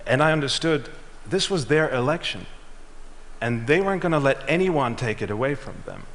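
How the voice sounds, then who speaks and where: A middle-aged man giving a prepared talk on stage, telling a measured story and letting his lines trail off. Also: medium pitch, animated, fairly bright tone. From Switzerland and Ghana. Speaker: speaker. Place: large auditorium. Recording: reverberant hall.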